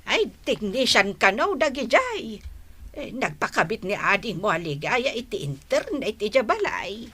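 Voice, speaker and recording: Bewildered older country mother, Ilocano accent, high-ish sharp voice, querulous, radio drama. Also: very animated, measured pace, slightly rough, exaggerated voice. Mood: grumbling. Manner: querulous, exclamatory.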